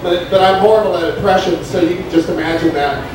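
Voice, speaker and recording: A young man doing stand-up comedy in a restaurant, rambling and halting with many fillers, and acting out a stoned, forgetful waiter. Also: high voice. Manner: acts out a stoned, scatterbrained waiter. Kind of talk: open-mic stand-up comedy. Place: Mexican restaurant with a live crowd. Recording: very echoey room, distant mic, crowd noise.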